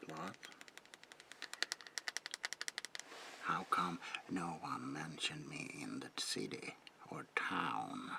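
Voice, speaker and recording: A man voicing an eerie, reclusive old vampire in a breathy, gravelly whisper for an ASMR roleplay, murmuring old-fashioned phrases with lingering repetitions and polite menace. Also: rumbling low, expressive, slow, bright, forceful tone, a little hiss or hum, exaggerated voice. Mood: brooding, sly.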